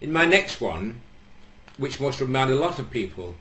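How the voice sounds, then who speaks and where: A man's voice: medium pitch, very animated, normal speed, pushed and bright. A jovial, self-mocking man from England hosting his show and telling jokes. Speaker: joke-telling host. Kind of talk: joke telling.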